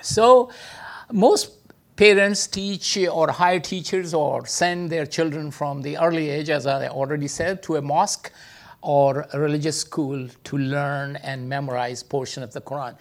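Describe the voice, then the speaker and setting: A man giving an informal teaching talk, full of hesitations and filler sounds, speaking English with non-native phrasing. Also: unhurried. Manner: hesitant, many filler sounds, lively pitch jumps. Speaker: speaker teaching about Islam.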